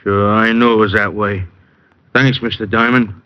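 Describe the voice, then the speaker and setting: An actor playing a big, simple-minded, hot-tempered wrestler with blunt slangy speech in an old radio detective drama. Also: male voice, on the low side, steady tone, normal speed, clean tone, no room sound, close to an everyday voice. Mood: friendly, earnest. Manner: slangy, blunt, dim tough talk. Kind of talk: 1940s-50s radio detective drama acting. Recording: muffled, noisy old radio recording.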